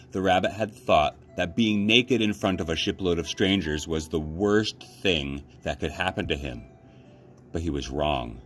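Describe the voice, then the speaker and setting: A man with a low voice narrates a children's book. His intonation is animated and expressive, in a read-aloud style. There is noticeable noise in the background. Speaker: youth librarian reading aloud.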